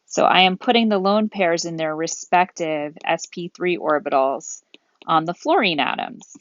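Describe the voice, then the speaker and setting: A woman lecturer explaining material methodically and deliberately, step by step, as she thinks aloud. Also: on the low side, no echo, muffled recording. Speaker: chemistry lecturer.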